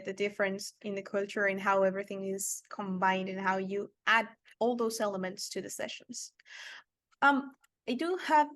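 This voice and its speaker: A woman's voice: mid-range voice, fairly bright tone, no room sound. A woman hosting a casual livestream interview, speaking in an effusive, admiring way. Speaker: interviewer, show host.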